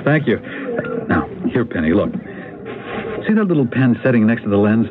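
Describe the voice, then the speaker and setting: A playful, enthusiastic husband with a passion for photography, acted by a man in a radio play. His voice swings up and down as he teases and explains in a chatty, slightly hesitant way. The sound is narrow and tinny, with clear noise over it. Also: medium pitch, big pitch swings, normal speed, natural voice. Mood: eager, cheerful. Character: playful husband, keen amateur photography buff. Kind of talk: radio drama acting. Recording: tinny, noisy old radio broadcast recording.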